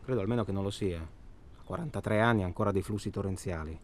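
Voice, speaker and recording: An actor in a radio drama playing an irritable, bitter married man, halting and repetitive, swearing as he talks in a naturalistic way. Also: low-pitched, natural voice. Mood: reflective, bitter. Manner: profane, halting, repeats himself.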